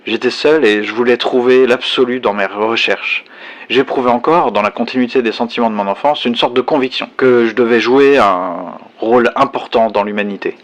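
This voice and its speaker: A man's voice: mid-range voice, normal speed, close to an everyday voice. A man playing a solitary, bookish survivor who reflects on his past in a conversational, hesitant first-person science fiction audio drama monologue. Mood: nostalgic.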